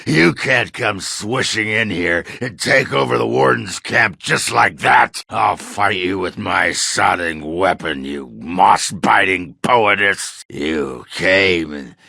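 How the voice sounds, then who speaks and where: A man voicing a gruff, crude, hard-drinking middle-aged dwarf warrior for a video game, with a gravelly, raspy voice and blunt, swearing delivery. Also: low voice, expressive, slow, few pauses, sharp tone, no echo, exaggerated voice. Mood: drunken, belligerent. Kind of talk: video game character acting.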